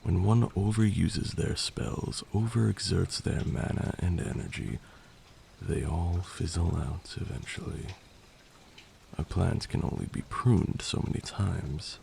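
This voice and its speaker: Man playing a slow, gravelly vampire lord. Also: low-pitched, fairly even intonation, darkish tone, a little hiss or hum, heavily acted. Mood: solemn, instructive. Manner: measured, formal, deliberate, teacherly. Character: male vampire lord, patient, stern, learned magic mentor. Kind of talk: ASMR roleplay monologue.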